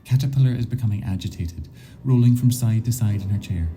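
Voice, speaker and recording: A man narrating a scripted surreal radio play in a formal, measured storytelling manner. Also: lowish voice, mellow. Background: music score.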